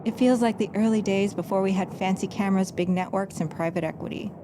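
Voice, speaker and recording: A woman's voice: medium pitch, steady tone, fast, background noise, lightly acted. A woman playing an embittered, defiant stage magician in an audio drama, narrating her own story with dramatic intensity. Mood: wistful. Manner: dramatic, swings from hushed narration to angry outbursts. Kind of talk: audio drama acting with first-person narration.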